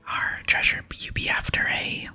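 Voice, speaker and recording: A woman's voice: normal speed, pushed and bright. Whispered wheedling pirate voiced by a woman. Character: wheedling rum-loving old male pirate. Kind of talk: whispered reading of game dialogue. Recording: close whisper with music behind.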